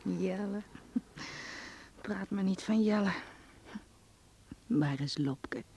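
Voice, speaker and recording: A woman acting in a radio drama as a warm, seductive village woman with a soft, coaxing manner. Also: mid-range voice, extremely slow, slightly rough, exaggerated voice. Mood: calling, teasing. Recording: noisy old radio play recording.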